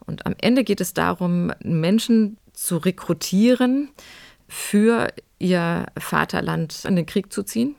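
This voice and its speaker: A woman's voice: fairly low pitch, slow pace, vocal fry, darkish tone, no echo, studio quality. A woman giving explanatory, analytical commentary as a history expert, in academic wording.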